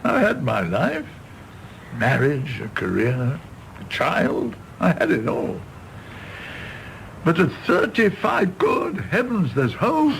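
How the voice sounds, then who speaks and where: Florid, creaky-voiced old doctor. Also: male voice, a little high, full character voice, poor audio. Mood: earnest, wistful. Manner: florid, expressive, drawn-out phrasing. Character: world-weary, self-deprecating older doctor, kindly and theatrical. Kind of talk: British stage-play radio drama acting. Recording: clear background noise.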